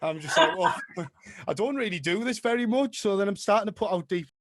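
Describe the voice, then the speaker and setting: A young man with a Teesside accent talks in a rapid rush, chatty and self-mocking as an interview guest. His voice sits a little high. It is recorded dry and close, with no room sound. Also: expressive, dry recording. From Middlesbrough, England. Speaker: guest, house music producer. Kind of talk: casual interview chat.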